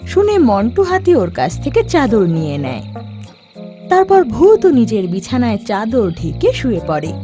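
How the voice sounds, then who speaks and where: A woman's voice: fairly high pitch, huge pitch swings, a little slow. A woman narrating a scripted cartoon story as its storyteller. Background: soft background music.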